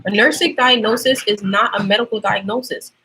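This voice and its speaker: A woman's voice: mid-range voice, steady tone, brisk, gravelly, bright, forceful tone, rough recording. Chatty Trinidadian woman, casual livestream. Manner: chatty, explains with lots of fillers. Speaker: nursing student livestreamer.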